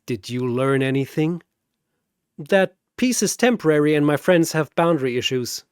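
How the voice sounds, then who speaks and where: A man's voice: on the high side, lively intonation, normal speed, smooth, no echo, studio quality, close to an everyday voice. A man voicing a plucky young female monster slayer in a battle story, wry, flippant and quippy. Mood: wry, sympathetic.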